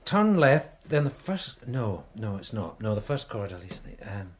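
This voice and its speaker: An actor playing a chummy, joking, rambling detective sergeant in a radio drama. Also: male voice, lowish voice, sing-song, moderate pace, breathy edge. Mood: flustered, helpful. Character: bantering, easy-going police detective sergeant. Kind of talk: naturalistic British radio drama acting.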